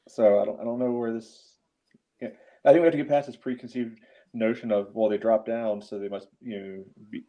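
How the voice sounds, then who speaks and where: A man on a sports podcast debating casually, in choppy phrases peppered with small fillers. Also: fairly low pitch. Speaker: podcast co-host. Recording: dull, lo-fi audio.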